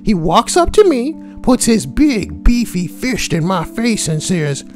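Female online narrator, very animated pitch, foul-mouthed comic asides, guitar music underneath. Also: unhurried. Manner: crude, sweary, joking asides. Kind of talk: reading forum posts aloud with crude comic commentary.

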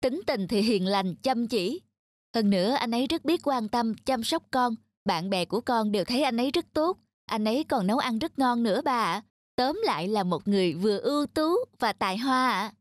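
A woman's voice: on the high side, lively intonation, moderate pace, no echo. A woman voicing a whiny, bubbly cartoon daughter. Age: young adult. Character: lazy, spoiled young woman, cheerful and whiny. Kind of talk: cartoon dub acting. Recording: studio voice-over with background music.

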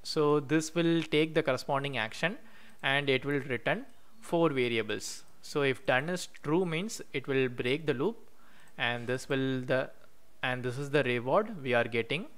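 Male tutorial host with a smooth, clear mid-pitched voice, narrating code at a desk with typing behind. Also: a bit bright. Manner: explains step by step while typing code, many "uh" pauses. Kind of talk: screen-recorded coding walkthrough. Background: keyboard typing.

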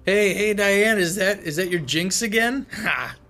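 A man's voice: high-pitched, unhurried, sharp tone. A man acting a curious, joking young student newcomer in an audio drama.

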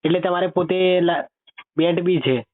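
A man's voice: sits high, steady tone, moderate pace. A man conducting a casual interview over the phone. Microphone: phone call. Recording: tinny narrowband phone line.

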